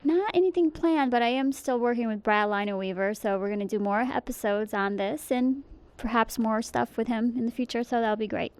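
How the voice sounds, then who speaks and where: Brief: actress, somewhat high voice, relaxed short answers, on-set interview. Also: female voice, a little fast, hardly any pauses, clean tone, no room sound. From born in Portugal, raised in Connecticut.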